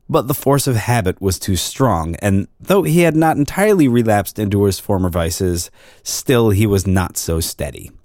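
A man narrating an old-fashioned drama in a formal, measured storytelling manner. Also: mid-range voice, darkish tone, no room sound, studio quality. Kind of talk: scripted literary narration of a drama.

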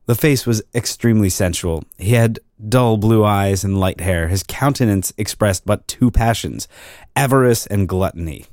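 A man's voice: fairly low pitch, a little mellow, dry recording. Formal male narrator, very dry sound. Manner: formal, measured storytelling. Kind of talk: scripted literary narration of a drama.

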